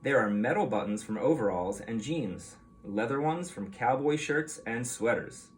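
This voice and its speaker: Man reading to children with lively, swooping intonation. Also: medium pitch, normal speed, a little hiss or hum. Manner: expressive, explanatory, addressing children with questions. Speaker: teacher reading a picture book aloud to young children. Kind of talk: read-aloud storytelling for young children.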